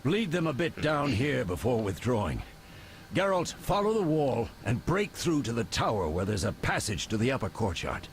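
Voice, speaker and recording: A man's voice: medium pitch, sing-song, moderate pace, slight background noise. An actor playing an older male witcher leader in a video game, giving clipped, authoritative orders. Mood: commanding, urgent. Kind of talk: video game cut-scene acting.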